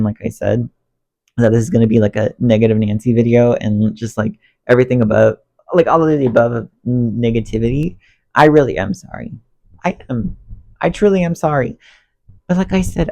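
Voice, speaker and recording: A man's voice: medium pitch, creaky. Congested young man ranting to camera. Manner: rambling, emotional, self-talk, expressive swings. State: congested, losing voice. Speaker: vlogger. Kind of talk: candid rant to camera while cleaning. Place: bedroom. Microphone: phone on stand under ring light.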